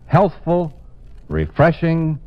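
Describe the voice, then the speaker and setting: A man's voice: a little high, sing-song, takes it slowly. An American man announcing a sponsor message in 1950s radio style. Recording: dull old radio recording.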